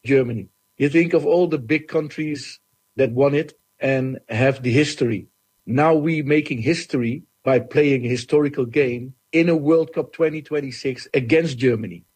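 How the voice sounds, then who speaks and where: Outspoken middle-aged coach, slightly husky, direct and unfiltered. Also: male voice, on the high side, normal speed, darkish tone, poor audio. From Netherlands. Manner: blunt, outspoken. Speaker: assistant coach. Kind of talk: interview answers.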